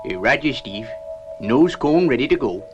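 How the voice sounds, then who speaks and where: Man acting as hesitant old space professor, loud music behind. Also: big pitch swings, lo-fi sound, lightly acted. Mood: businesslike. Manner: halting, hesitant with frequent uhs. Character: hesitant, elderly male spaceship professor and navigator. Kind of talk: 1960s science fiction puppet series acting. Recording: vintage TV soundtrack, loud music and engine rumble.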